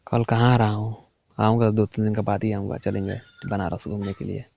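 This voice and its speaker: A man's voice: flat delivery, a little fast, creaky, warm tone, a little hiss or hum. A young man in a casual private chat on a phone call. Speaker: caller. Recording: muffled low-fidelity phone call recording.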